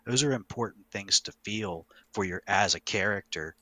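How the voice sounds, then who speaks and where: Deep-voiced man, bright and dry. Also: moderate pace, no room sound. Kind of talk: casual podcast discussion.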